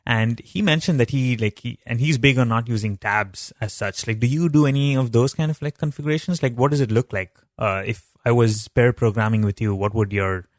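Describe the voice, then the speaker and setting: A man's voice: mid-range voice, fairly quick, hardly any pauses, dry recording, clean studio sound. A young man hosting a podcast, asking questions in a rambling, enthusiastic way, full of "like" and fillers. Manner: enthusiastic, rambling, heavy use of "like". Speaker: podcast host. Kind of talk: casual interview chat.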